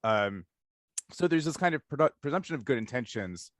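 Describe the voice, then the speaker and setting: A man's voice: medium pitch, expressive, fairly quick, no room sound, clean studio sound. A man hosting a casual discussion interview, thinking aloud analytically in long stretches and often checking in with "right?". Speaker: interviewer, podcast host.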